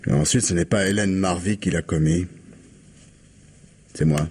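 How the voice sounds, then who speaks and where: Low, husky, defiant murder suspect. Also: male voice, moderate pace, darkish tone. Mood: grim resolve. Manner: guarded, terse, defiant. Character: stubborn, bitter male murder suspect under interrogation. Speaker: actor in a radio mystery. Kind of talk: French radio crime drama acting.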